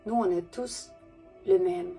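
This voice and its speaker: A woman giving a gentle, soothing spiritual message to her viewers in a language that is not her first, pausing often and correcting herself. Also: lowish voice, vocal fry, faint music behind. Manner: gentle, soothing, halting with pauses and self-corrections; speaks French as a second language. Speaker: spiritual messenger.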